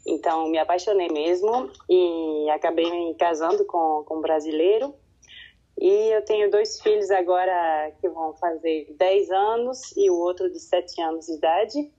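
Woman, low mellow voice. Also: muffled recording. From French-speaking Switzerland. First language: French. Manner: halting, searching for words. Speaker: kindergarten teacher, guest.

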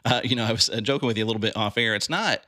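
A man hosting a podcast, speaking in a relaxed conversational way with many fillers. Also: fairly low pitch, racing, no echo, studio quality. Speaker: podcast host. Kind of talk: conversational interview.

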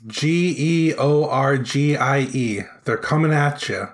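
A woman's voice: rumbling low, takes it slowly. A woman guest introducing herself on a podcast in a playful way.

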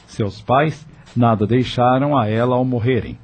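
A man's voice: medium pitch, a little slow, a little mellow, husky. A man narrating a scripted radio drama in a dramatic, literary storytelling manner. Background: background music.

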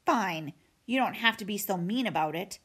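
A woman's voice: mid-range voice, animated, normal speed, fairly bright tone, slightly rough. A storybook bully of a young bear, acted out by a woman reading aloud. She is a bossy older sister with a sarcastic edge. She sneers and taunts as she talks. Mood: annoyed.

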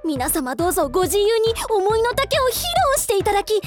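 Female actor as breezy official hostess; very high, lively, theatrically polite announcing for radio drama. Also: brisk, soft background music. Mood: cheerfully officious. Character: breezy, officious government discussion-room moderator woman. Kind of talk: radio drama narration and acting.

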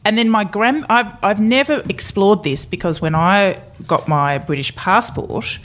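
A woman's voice: mid-range voice, unhurried, a bit bright. Chatty Australian woman guest. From Adelaide, Australia. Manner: chatty, rambling, amused. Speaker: guest pollster. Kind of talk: casual interview chat.

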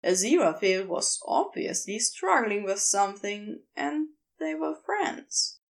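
A woman's voice: mid-range voice, sing-song, measured pace, vocal fry. A woman narrating scripted fiction expressively, as for an audiobook.

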